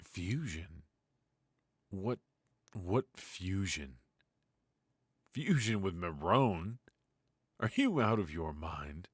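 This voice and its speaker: A man playing a weary, ruthless yet fatherly mafia boss in an intimate ASMR roleplay, with a low, husky, breathy voice and a wry, sighing delivery. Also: big pitch swings, no room sound, studio quality, exaggerated voice. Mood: exasperated, alarmed. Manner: slow, pausing, sighing, wry.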